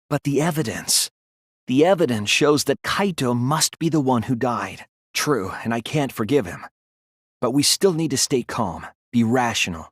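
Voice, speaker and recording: A man voicing an earnest young male detective in a video game, thoughtful and anguished but resolute, with deliberate, analytical phrasing. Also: medium pitch, breathy edge, no echo, clean studio sound. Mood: pained, gentle. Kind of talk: dramatic video game voice acting, deductive explanation.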